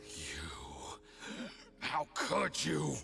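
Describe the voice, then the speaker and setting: An actor playing a poisoned, outraged official in a video game, halting and strained. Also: male voice, medium pitch, huge pitch swings, gravelly, full character voice. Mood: shocked, pained. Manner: halting, strained, gasping delivery. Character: poisoned male Fatui official, betrayed and outraged. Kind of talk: dramatic game voice acting. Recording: game music behind.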